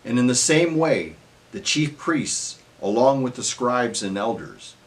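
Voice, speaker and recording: A man narrating scripture as a solemn dramatic reading, in measured phrases that trail off at the ends. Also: medium pitch, very animated.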